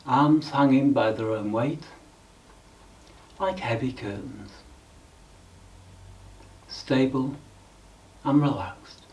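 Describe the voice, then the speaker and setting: A man leading a guided meditation in a calm, measured, instructional way with frequent pauses. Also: mid-range voice, distant mic, dull audio.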